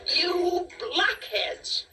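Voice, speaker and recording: A woman's voice: very high voice, huge pitch swings, drawn-out words, pushed and bright, pitched above the performer's own voice, heavily acted. A woman voicing an ugly, rude old male dwarf who scolds and insults in a children's storybook. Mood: impatient, scolding. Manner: cranky scolding exclamations and insults. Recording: distant cassette audio with music.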